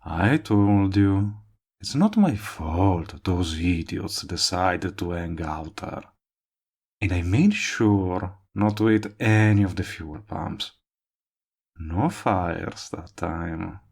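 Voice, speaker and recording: A man's voice: deep voice, slow pace, gravelly, a little mellow, breathy edge, exaggerated voice. A man playing a cocky, lovestruck villain in an audio roleplay, teasing and coaxing the listener he is wooing. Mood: defensive, coaxing. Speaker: voice actor. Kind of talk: intimate audio roleplay acting addressed to the listener.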